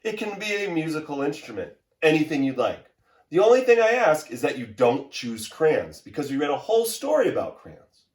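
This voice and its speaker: Male primary teacher for kids' read-aloud and lesson: lively intonation, encouraging, instructive, roomy recording. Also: fairly high pitch, normal speed. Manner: instructive, encouraging, addresses children directly. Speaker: elementary school teacher. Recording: roomy, echoey indoor sound.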